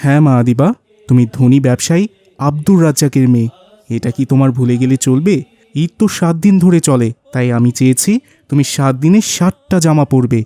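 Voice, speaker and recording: A man's voice: medium pitch, brisk, dark tone, dry recording, close to an everyday voice. A man with a Bangladeshi accent voicing a rich, generous, boastful businessman father in a cartoon. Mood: proud, affectionate. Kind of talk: cartoon voice acting.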